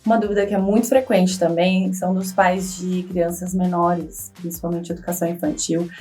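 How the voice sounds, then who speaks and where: A woman educator talks with lively energy in a podcast panel, often acting out little examples as she goes. She is heard from a slight distance, with faint music underneath. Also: on the low side, moderate pace. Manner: animated, acts out parents' worries in quoted voices. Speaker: educator at a bilingual school.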